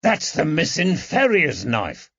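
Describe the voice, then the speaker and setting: A man's voice: on the high side, huge pitch swings, slow pace, vocal fry, pushed and bright, breathy edge, no room sound, muffled recording, pitched above the performer's own voice, heavily acted. A man voicing a plain-spoken, jocular constable in a period mystery audiobook, blunt and exclamatory. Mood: shocked, certain.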